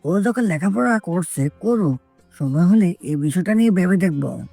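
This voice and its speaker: A woman voicing a firm, sensible older male head of a family in a story, speaking in a measured way. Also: on the low side, animated, vocal fry, dark tone, dry recording, soft background music.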